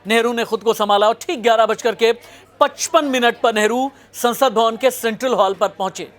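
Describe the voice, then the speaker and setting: A man's voice: very high voice, normal speed, fairly bright tone. A man presenting history as a news host, narrating it as a dramatic story in a suspenseful, emphatic manner.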